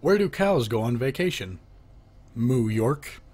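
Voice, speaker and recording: A man reads a joke book at an unhurried pace. His voice is husky. His pitch swings widely as he names each speaker and then delivers their line. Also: animated, darkish tone. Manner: lifts his voice for the asking half of knock-knock jokes. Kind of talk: reading jokes aloud.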